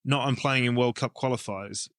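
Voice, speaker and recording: A man's voice: mid-range voice, fairly even intonation, normal speed, no room sound, clean studio sound. A man with an English accent talking casually as an interview guest, explaining his ideas informally as he thinks them through. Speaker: rugby coach and club director, interview guest.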